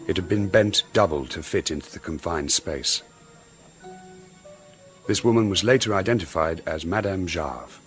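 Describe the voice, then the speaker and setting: A sober reader of case reports in a radio drama. His voice is deep, formal and measured. Faint music plays underneath. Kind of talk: scripted narration in radio drama.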